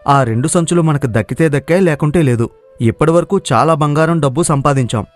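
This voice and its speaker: A man's voice: on the high side, fairly quick, soft background music, natural voice. A man voicing an easygoing young villager in a cartoon moral story, questioning and sceptical in manner. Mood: reluctant. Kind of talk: dramatised moral story dialogue.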